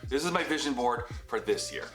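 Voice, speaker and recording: A man's voice: medium pitch, lively intonation, brisk, fairly bright tone. A man in his thirties talking casually to the camera in a home office, rambling and correcting himself as he goes. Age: 30s. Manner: rambling, filler-heavy and self-correcting. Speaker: business vlogger. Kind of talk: casual talking-head vlog. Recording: echoey room with light music. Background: heater humming.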